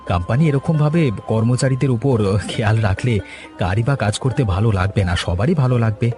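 A man's voice: mid-range voice, brisk, close to an everyday voice. A man voicing an earnest, respectful young married man in a cartoon story, with a Bengali accent. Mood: eager, respectful. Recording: music behind the voice.

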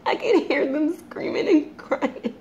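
A woman performing a dramatic monologue as a grieving, unhinged mother tormented by guilt, halting and anguished. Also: high voice, animated, darkish tone, dull audio, a little hiss or hum. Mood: distraught.

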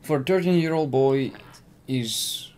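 A man's voice: mid-range voice, unhurried, a little mellow. A young man casually commenting while playing a video game, speaking into a headset at home. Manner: laid-back, muttering remarks. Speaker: gamer streamer. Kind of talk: casual let's-play commentary.

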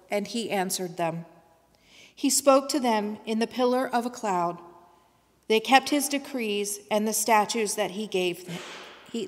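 Slow woman reader in reverberant church. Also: mid-range voice. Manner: measured, careful reading at a lectern. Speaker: scripture reader. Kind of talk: slow liturgical scripture reading. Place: large church sanctuary. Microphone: lectern microphone.

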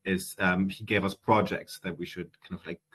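A man's voice: low voice, steady tone, normal speed, a bit bright, breathy edge. Chatty young man with German accent. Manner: chatty, many fillers and restarts. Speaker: guest. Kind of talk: casual interview answers. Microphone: headset on video call.

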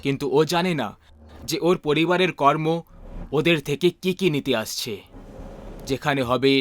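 A man's voice: on the high side, normal speed, slight background noise, close to an everyday voice. A man giving solemn, dramatic scripted narration for a horror story. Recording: loud music bed behind voice.

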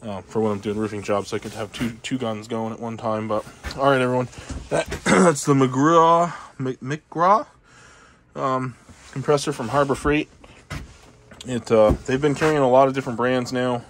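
A man's voice: medium pitch, steady tone, normal speed, a little hiss or hum. A man casually reviewing his air compressor in a cluttered garage, filming on a handheld phone and rambling with lots of fillers and blunt, profane asides. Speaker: channel host reviewing tools. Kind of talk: casual off-the-cuff product review. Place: cluttered garage workshop, indoors. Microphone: handheld phone camera, first-person view.

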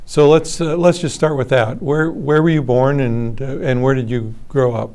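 A man's voice: medium pitch, steady tone, normal speed, mellow. A man interviewing a guest for an oral history, asking plain, orderly questions.

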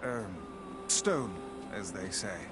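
A snooty, sardonic talking book speaks in a dry, formal way. Loud game music plays under this video game scene. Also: male voice, lowish voice, lively intonation, slow pace. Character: haughty talking book, male, old and sardonic.

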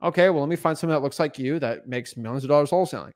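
A man's voice: fairly high pitch, very fast. A young man in his twenties giving an unscripted motivational talk as a real estate coach, intense and repetitive, recorded on a podcast mic in a small home studio. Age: 20s. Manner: intense, repeats himself, frequent "right?" and "like". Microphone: podcast mic on boom arm in front.

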